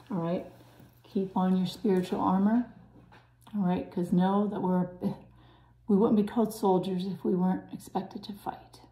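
A woman with a raspy voice preaching to her viewers in an earnest, urgent way, often dropping to a soft, breathy hush. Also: fairly low pitch, reverberant, poor audio. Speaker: channel host preaching.

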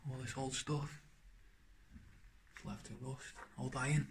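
A man's voice: mid-range voice, unhurried, noisy background. A young man giving casual running commentary as he explores an abandoned farmhouse, pointing out old objects and calling to a friend. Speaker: urban explorer vlogger. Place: abandoned farmhouse rooms and cellar, indoors. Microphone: handheld phone camera.

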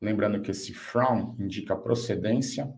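Low-voiced Brazilian man teaching English. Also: darkish tone. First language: Portuguese. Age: 50s. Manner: didactic, slows down and enunciates example phrases. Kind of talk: online language lesson, explaining and modelling phrases.

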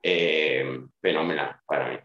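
A man's voice: extremely low pitch, fairly even intonation, unhurried, fairly bright tone. A man in his fifties from Sicily, speaking with an Italian accent as an interview guest, hesitant and full of fillers and restarts, on a video call. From Sicily, Italy. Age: 50s. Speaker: interview guest, club director. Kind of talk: casual interview answers. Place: small room at home. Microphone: video call webcam. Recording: roomy, dull video call audio.

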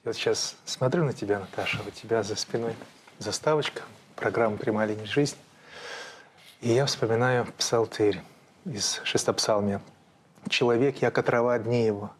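A man in his forties, a priest, speaking in a studio with a husky voice and a solemn, deliberate, preaching delivery. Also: mid-range voice, a little hiss or hum.

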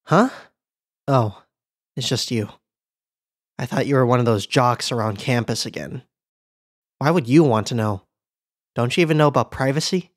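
A woman voice actor improvising emotional lines for a demo reel in an expressive, theatrical manner. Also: a bass voice, normal speed, pauses often.

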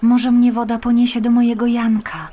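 A woman's voice: fairly high pitch, level tone, normal speed, warm tone, a little hiss or hum, pitched above the performer's own voice, lightly acted. A woman voicing a small, trusting, determined girl in a storybook, earnest and childlike. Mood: earnest, hopeful. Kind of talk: storybook character voice.

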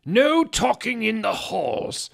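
A man voicing an angry old wizard headmaster with drawn-out, hostile shouting in a story reading. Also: sits high, big pitch swings, gravelly, pitched above the performer's own voice, heavily acted. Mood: furious.

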